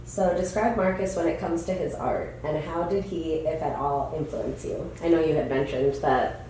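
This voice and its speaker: A woman's voice: deep voice, fairly even intonation, moderate pace, dark tone, slight background noise. A woman hosting an interview, asking questions and giving brief, encouraging affirmations. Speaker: interviewer host. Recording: very echoey room, mic far off.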